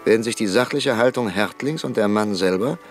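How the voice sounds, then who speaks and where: An actor playing an old, stubborn professor and parliamentarian in a German radio play, politely defiant, with measured, formal and deliberate speech. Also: male voice, on the low side, faint music behind, natural voice. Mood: polite, insistent. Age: older.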